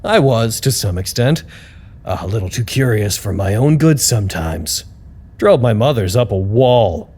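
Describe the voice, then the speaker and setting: An actor playing a weary, earnest staffer who dictates a dry, self-deprecating work log in an audio drama. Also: male voice, lowish voice, expressive, unhurried, a little mellow. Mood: drained, rueful.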